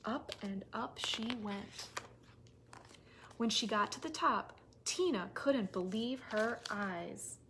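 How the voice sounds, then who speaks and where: A woman with a fairly high voice leads story time for toddlers. She speaks in simple, expressive phrases, as a children's librarian reading aloud. The recording has noticeable noise. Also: slow pace. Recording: noisy recording with clicks.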